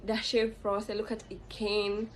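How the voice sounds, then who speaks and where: Young Kenyan woman, casual vlog monologue, meandering with fillers, medium pitch, light hiss behind. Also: monotone, a little slow, poor audio. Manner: rambling, frequent fillers and self-corrections. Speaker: vlogger.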